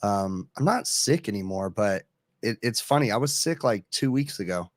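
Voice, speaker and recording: Slightly hoarse, low-voiced man chatting. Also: expressive, normal speed, no room sound. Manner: rambling and easygoing, many fillers. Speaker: HVAC service technician hosting a live stream. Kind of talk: casual live stream chat.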